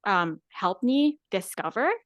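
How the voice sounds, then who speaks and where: A woman's voice: medium pitch, lively intonation, slow pace, no echo, studio quality. A woman from the Philippines, a guest content creator chatting casually in an interview, filling her talk with "like" and "right?". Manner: chatty, many "like" and "right?" fillers.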